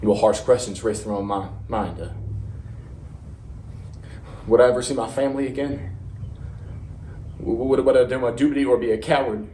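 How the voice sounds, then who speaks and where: A man playing a haunted young Marine veteran, telling of war in a halting, stammering dramatic monologue with heavy pauses. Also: mid-range voice, dark tone, natural voice. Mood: earnest, uneasy. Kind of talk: serious dramatic interpretation monologue. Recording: roomy, distant mic, faint noise.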